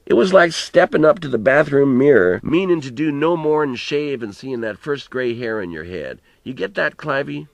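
A man's voice: medium pitch, expressive, normal speed, gravelly, hardly any pauses, a crowd in the background, voice pushed up. A man reading a storybook as an elderly farmer grandfather, plain-spoken and folksy, telling rambling, earnest reminiscences with some mild swearing. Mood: reflective. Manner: colloquial rural storytelling, plain-spoken, mildly profane.

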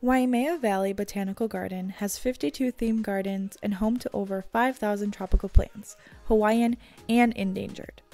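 Woman narrating travel guide, creaky voice. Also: medium pitch, steady tone, normal speed, mellow, faint music behind.

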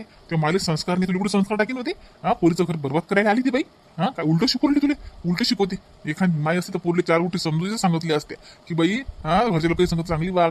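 Male actor as angry bossy husband, cartoon family drama, Varhadi Marathi accent, high pitch, quick delivery. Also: natural voice. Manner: hectoring, scornful. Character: furious domineering husband scolding his wife and mother-in-law.

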